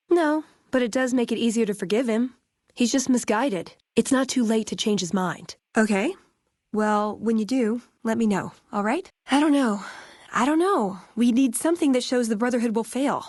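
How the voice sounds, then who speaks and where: An American actress playing an earnest, wry young idealist in a video game, speaking with dry sarcasm and conviction. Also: female voice, on the high side, dry recording. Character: earnest, wry young woman, idealistic tech-order scribe. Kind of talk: video game character dialogue.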